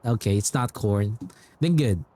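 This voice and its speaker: A man's voice: lowish voice, a little slow, warm tone. A man livestreaming a game, chatting casually and rambling as he repeats himself.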